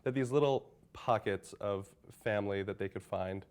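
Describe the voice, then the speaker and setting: A man's voice: lowish voice, normal speed, clear, resonant tone. A young man, a college senior, giving earnest interview answers with frequent restarts and fillers. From United States. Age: young adult.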